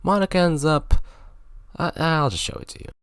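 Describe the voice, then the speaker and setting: A man's voice: on the high side, normal speed, noisy background. A man narrating a scripted video game review, with sarcastic, joking asides.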